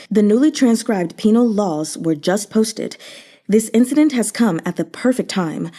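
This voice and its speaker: A woman voicing a shrewd, unreadable male commander in a historical novel, calm, formal and deliberate. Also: mid-range voice, natural voice.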